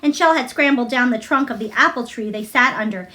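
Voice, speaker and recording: Female storybook reader with a high voice, narrating a children's novel aloud. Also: a little fast, bright, forceful tone.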